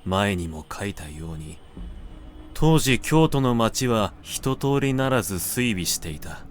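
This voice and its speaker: Man, lowish pitch, slow literary narration, measured phrasing, dramatic pauses, background ambient noise. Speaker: narrator reading literature aloud.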